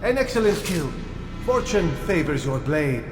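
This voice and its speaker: A man's voice: a little high, big pitch swings, moderate pace. An actor playing an eager, admiring male novice assassin in a video game, deferential and curious. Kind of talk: video game cutscene acting. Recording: echoey hall, distant, music behind.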